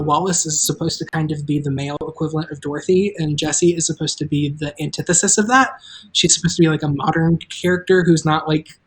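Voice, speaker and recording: A show creator hosting a live stream, talking casually and haltingly about making the show, with offhand asides. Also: medium pitch, poor audio. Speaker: show creator and table read host.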